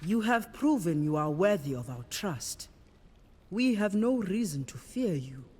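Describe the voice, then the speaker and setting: Husky, slow, commanding priestess. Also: female voice, lowish voice, big pitch swings, a little mellow, full character voice. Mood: solemn, assured. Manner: solemn, measured, philosophical. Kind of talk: cinematic fantasy game acting. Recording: long hall-like reverb.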